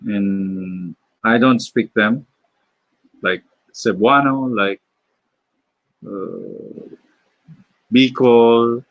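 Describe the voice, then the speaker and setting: A man from the Philippines with a Filipino accent, chatting casually on a live stream while drawing, halting, with many fillers and restarts. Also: lowish voice, big pitch swings, no room sound, highs cut off. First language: Filipino (Tagalog). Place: home.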